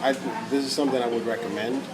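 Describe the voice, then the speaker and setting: A man from Puerto Rico with a Puerto Rican accent, telling stories in a relaxed, casual way on a podcast. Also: medium pitch, fast, over a noisy crowd. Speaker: podcast co-host. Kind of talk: casual chat.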